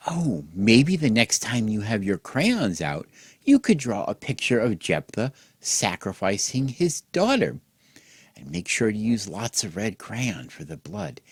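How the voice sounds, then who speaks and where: A man hosting and telling a mock children's bedtime story in an exaggerated gentle, sing-song way, as if speaking to small children. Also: on the low side, breathy edge, dry recording, clean studio sound. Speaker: host and storyteller.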